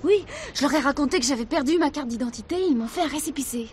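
A woman's voice: high voice, fast talker, a little hiss or hum, lightly acted. An actress playing a naive sixteen-year-old runaway girl in a radio drama, reserved and hesitant. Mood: confiding. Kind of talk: French radio drama acting.

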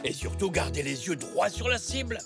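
A kindly old zebra grandpa from a children's cartoon. His pitch leaps about as he patiently instructs and encourages, with music playing behind him. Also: big pitch swings, normal speed. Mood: instructive. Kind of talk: children's animated cartoon acting.

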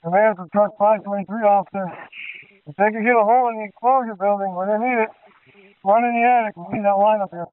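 A man's voice: sits high, fairly even intonation, fast talker. A male firefighter giving brief status reports over a two-way radio. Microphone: handheld radio. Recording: tinny band-limited two-way radio traffic.

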